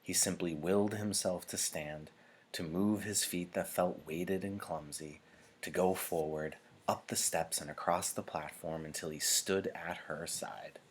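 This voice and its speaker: A man reading a novel aloud in an expressive narrating style, like a teacher to a class. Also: low-pitched, a little slow, slight background noise.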